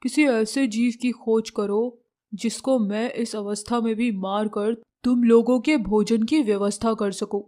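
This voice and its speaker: Woman voicing a slow, stately lion king. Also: on the high side, steady tone, dark tone, close to an everyday voice. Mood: grave. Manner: stately, commanding. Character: regal, honourable lion king of the jungle.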